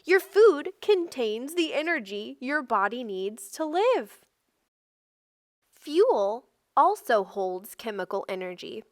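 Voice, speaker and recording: A woman with a fairly high voice reads educational non-fiction aloud for children. She goes slowly and instructionally, in short separate phrases, and the recording is dry and close. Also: big pitch swings, dry recording. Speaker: read-aloud narrator.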